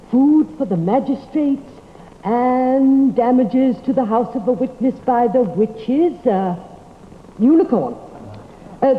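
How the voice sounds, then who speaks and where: An actress playing a formal, officious record keeper, declamatory and halting, in old-time drama style. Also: female voice, high-pitched. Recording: narrow, echoing, noisy vintage hall recording.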